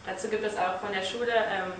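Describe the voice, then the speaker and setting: A woman's voice: medium pitch, fairly even intonation, fast talker, a bit bright. A young woman from northern Germany, a language school student giving an eager, cheerful testimonial in casual chat. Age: young adult. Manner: cheerful, eager, laughs often. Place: large bright indoor lobby. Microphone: far camera. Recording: echoey room, distant mic, faint hiss.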